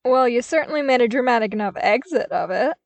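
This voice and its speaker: A woman's voice: a little high, lively intonation, fast, no room sound, pitched above the performer's own voice. A woman acts a young male trooper in an audiobook story. He is cheerful and chatty, talking in a jovial, casual way. The sound is dry and roomless. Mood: amused. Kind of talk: audiobook character acting.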